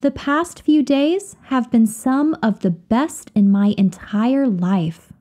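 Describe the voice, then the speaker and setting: A woman reading example sentences slowly and carefully, repeating each phrase for learners to copy. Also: fairly high pitch, warm tone. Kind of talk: slow, clear drill reading of example phrases.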